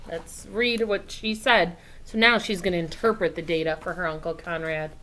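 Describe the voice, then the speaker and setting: A woman reading a picture book aloud to children, expressively, pausing to explain things in simple asides. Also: on the low side, fairly even intonation, pushed and bright, rough recording. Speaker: read-aloud storyteller.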